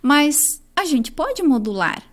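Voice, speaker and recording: A woman's voice: sits high, sing-song, slow pace. A young adult woman from Chile with a Chilean accent, a nutritionist guest explaining her work in an interview, with frequent fillers. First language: Spanish. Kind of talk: interview answers.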